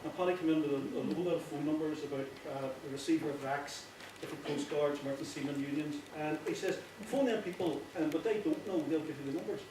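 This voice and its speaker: A man with a Northern Irish accent giving an informal, earnest speech, often halting and repeating himself. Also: a little high. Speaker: playwright giving a thank-you speech. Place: echoey indoor hall before an audience. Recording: very echoey, distant, noisy with clicks.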